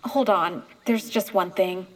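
A woman's voice: mid-range voice, takes it slowly, low-quality recording. An actress playing a poised, self-promoting town councilwoman with a formal, speechifying manner in a comic audio drama. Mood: officious.

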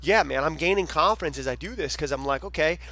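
A man's voice: a little high, fast talker, sharp tone. A man hosting a podcast, enthusiastic and emphatic as he reacts to his guest and asks questions. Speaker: podcast host. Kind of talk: casual interview talk.

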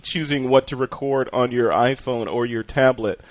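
A man's voice: medium pitch, normal speed, no room sound. A man hosting a podcast, chatting casually through photography news with frequent fillers. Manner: relaxed, talkative with fillers and restarts. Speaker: podcast host.

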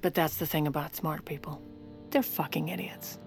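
A woman playing a sharp, scheming First Lady, confiding wryly in a comedic audio drama. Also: sits low, steady tone, normal speed, gravelly, over music. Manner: conspiratorial, wry storytelling.

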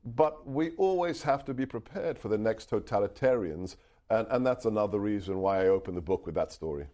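Deep, dark-voiced raised man. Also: animated, fairly quick. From United Kingdom. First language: Hungarian. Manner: earnest, emphatic, with frequent restarts. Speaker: author and guest. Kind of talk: interview answers, storytelling.

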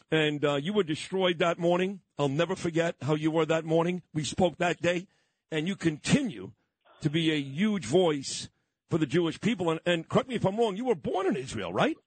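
A man's voice: a little high, dry recording. Emphatic man hosting a radio show. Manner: emphatic, talks in long run-on lists. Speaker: radio talk host. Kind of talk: radio interview.

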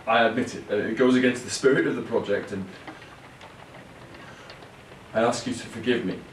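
An actor playing an earnest, halting brother who confesses and pleads with his sisters in an audio play. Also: on the low side, steady tone, far from the mic, close to an everyday voice. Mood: earnest, confessing. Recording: roomy, off-mic, faint background noise.

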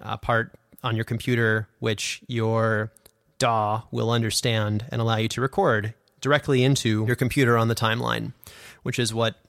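A man's voice: mid-range voice, little pitch movement, normal speed, vocal fry, fairly bright tone, no echo. A man hosting a podcast, explaining things in a casual, enthusiastic, self-deprecating way, close on a studio microphone. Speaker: podcast host and audio drama producer. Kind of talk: casual instructional podcast chat. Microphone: condenser studio microphone.

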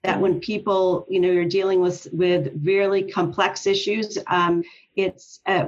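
Dull muffled audio, woman, slightly low voice, earnest, emphatic, conversational interview guest. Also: slight background noise. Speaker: interview guest, health organization leader.

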